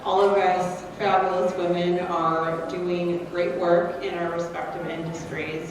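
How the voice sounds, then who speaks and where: A woman's voice: fairly low pitch, background noise. A woman moderating a live panel, upbeat and encouraging, recorded from a distance in a large echoey hall. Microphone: distant from the recording mic. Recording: roomy hall sound from far off.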